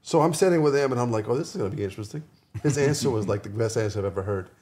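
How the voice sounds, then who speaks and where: Male podcast guest, medium pitch, loose conversational anecdote-teller who repeats phrases and voices quoted exchanges. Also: expressive, very fast, darkish tone. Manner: informal, restates phrases, quotes dialogue. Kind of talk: casual chat, animated anecdotes.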